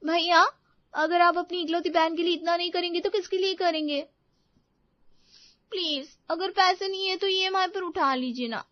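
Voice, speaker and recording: Brief: actress as spoiled married daughter, high voice, whiny and pushy, storybook cartoon style, dry muffled recording. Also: female voice, steady tone, normal speed, dry recording. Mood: wheedling. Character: entitled, demanding married daughter, wheedling and boastful. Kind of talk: animated moral story voice acting.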